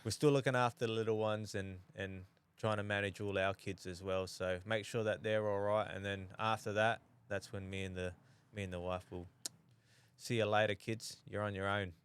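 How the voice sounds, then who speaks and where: Smooth low-voiced man, easygoing talker. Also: level tone. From New Zealand. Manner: easygoing, halting, lots of restarts. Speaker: podcast co-host. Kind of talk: casual chat.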